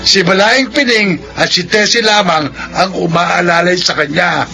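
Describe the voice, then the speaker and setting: A man's voice: high-pitched, unhurried, gravelly, bright, forceful tone, soft background music, heavily acted. An actor playing a stern, principled older Filipino father, admonishing with emphatic moral weight in a radio drama. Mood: reproachful. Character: stern, principled elderly father scolding his grown son.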